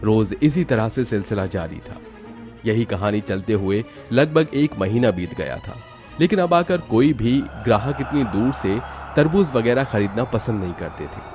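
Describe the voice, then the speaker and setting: A man narrates a scripted horror cartoon story. His voice is fairly low with lively, swinging intonation and a dramatic storyteller's delivery, with faint music behind it. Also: normal speed.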